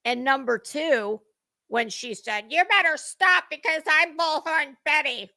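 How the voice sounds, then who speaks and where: A woman hosts an informal gossip commentary in a fairly high, dry-sounding voice. She takes her time, circling back through her opinions in halting stretches. Key points land with emphasis and the odd mild curse. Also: gravelly, sharp tone, dry recording. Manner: rambling, halting, emphatic, mildly profane. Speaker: host of a gossip commentary livestream. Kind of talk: casual opinionated commentary to viewers.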